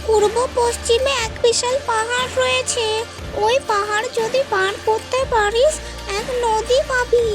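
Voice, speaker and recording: A woman's voice: extremely high pitch, steady tone, unhurried, over loud music. A woman voicing a stern female hermit sage in a fairy-tale cartoon, speaking in a solemn, imperious manner. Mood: solemn, instructive.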